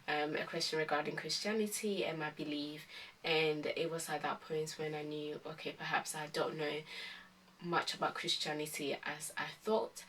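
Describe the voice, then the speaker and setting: A young woman from Ghana answers viewer questions in a relaxed vlog. Her voice sits low but has a bright, forward edge, and she talks calmly, filling gaps with ums. She is a little distant from the mic. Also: slight background noise. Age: 20s. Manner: calm, many ums and hesitant restarts. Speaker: vlogger. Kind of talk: casual Q&A vlog.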